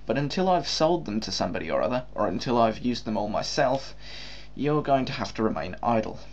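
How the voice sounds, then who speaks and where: A man's voice: mid-range voice, very animated, fairly quick, muffled recording. A man reading a story aloud, voicing a smug, affable male capitalist who talks down to his workers with a condescending politeness.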